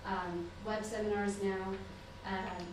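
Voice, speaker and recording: A woman's voice: mid-range voice, level tone, slow, noisy background. A woman from southern Indiana giving an earnest public comment at a city council meeting, chatty, with fillers and small laughs. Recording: echoey room picked up from far off.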